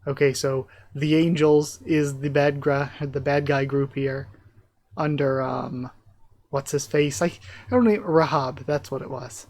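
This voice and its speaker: A man's voice: medium pitch, steady tone, unhurried, darkish tone, no room sound. A man giving a casual live reaction as host, thinking aloud and speculating as he goes. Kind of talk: live reaction, reading comic dialogue aloud with casual commentary.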